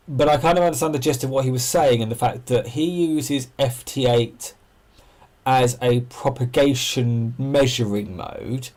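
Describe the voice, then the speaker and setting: A man's voice: fairly high pitch, a little mellow. A man hosting an amateur radio livestream, chatting casually and thinking aloud as he explains things and answers viewers.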